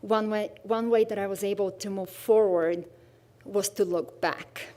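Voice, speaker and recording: A woman from Bulgaria speaks English with a clear Bulgarian accent. Her voice is mellow and warm, and her delivery is unhurried. She is thoughtful and soft-spoken as she presents her art. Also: medium pitch, fairly even intonation. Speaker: artist presenting her work. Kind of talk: livestream artist talk.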